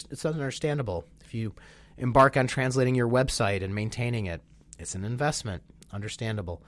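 A man co-hosting a business webinar, slightly nasal and congested from a sinus infection, talking in an animated, enthusiastic way with lots of "you know"s. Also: mid-range voice, no room sound. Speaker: webinar co-host. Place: Chicago.

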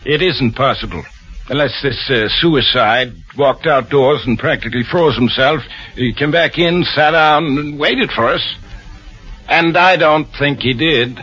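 An actor playing a mild, deliberate country doctor in a 1940s radio drama, shrewd and unhurried. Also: male voice, medium pitch, sharp tone, slightly rough, soft background music. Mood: quietly accusing. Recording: muffled old radio recording.

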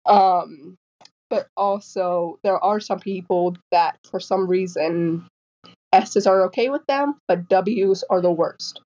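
A woman's voice: on the low side, slow, highs cut off. A woman in her twenties who stutters, with repeated sounds and restarts, chatting casually as a guest author and acting out the people in her stories. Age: 20s. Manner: animated storytelling, acting out quoted people. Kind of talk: casual interview chat.

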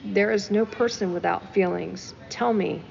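A woman's voice: mid-range voice, moderate pace, creaky, a little mellow, big-room sound, highs cut off. A woman co-hosting a casual talk show, discussing music emphatically and repeating phrases for stress. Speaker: podcast co-host. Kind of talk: casual discussion of song lyrics.

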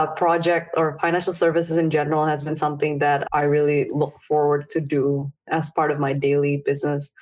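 A young woman from Indonesia talks about her work as a podcast guest. She speaks in a low voice and explains at length in an earnest way. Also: darkish tone. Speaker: startup founder guest. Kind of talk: casual interview answers.

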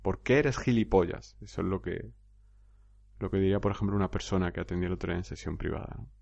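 A man's voice: low voice, quick pace, vocal fry, a little mellow, no room sound, muffled recording. A man hosting a podcast, answering a listener bluntly and emphatically, often repeating his points. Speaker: podcast host and coach. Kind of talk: podcast commentary.

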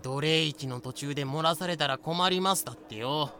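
A man's voice: a little high, moderate pace, sharp tone, slight background noise. A man playing a crude, griping young male captive in a comedic audio drama, talking in slang. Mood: grumbling, comic.

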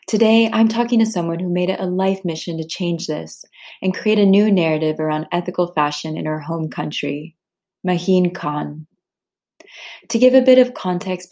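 A woman hosting a podcast, reading a scripted introduction and asking interview questions in a clear, measured way. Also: on the low side, mellow. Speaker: podcast host.

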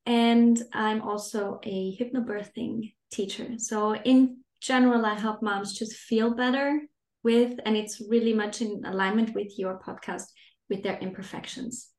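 A woman in her thirties from Austria talks through her ideas as a parenting coach on a podcast. Her voice sits a little high. The recording has a roomy echo. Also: fairly even intonation, unhurried, darkish tone. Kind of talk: podcast conversation.

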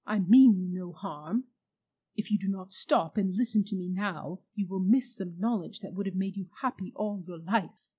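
A woman reading a storybook aloud and voicing a proud, cruel witch who speaks loudly and coaxes the listener. Her voice is dark and husky. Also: medium pitch, moderate pace, close to an everyday voice. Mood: commanding. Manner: loud, commanding and coaxing.

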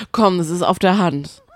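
A woman's voice: on the low side, takes it slowly, no room sound. A woman, chatting casually as a podcast co-host about reality TV.

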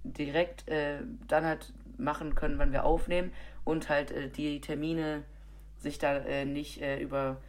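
A woman's voice: deep voice, little pitch movement, measured pace, a little hiss or hum. A woman with a cold, co-hosting a podcast and chatting casually about football with many fillers and restarts. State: has a cold. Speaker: podcast co-host.

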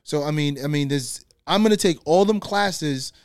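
A man, a podcast guest talking in a loose, slangy, animated way. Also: on the high side, moderate pace, clear, resonant tone, no echo, clean studio sound. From Guyana. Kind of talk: casual conversation.

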